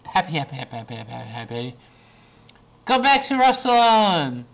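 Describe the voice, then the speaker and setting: A man's voice: a little high, big pitch swings, a little fast, vocal fry, a bit bright. A young man in his twenties vlogging about his day on a webcam from a small bedroom, tired and losing his voice, rambling with many ums and restarts. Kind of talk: casual video diary. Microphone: webcam at desk.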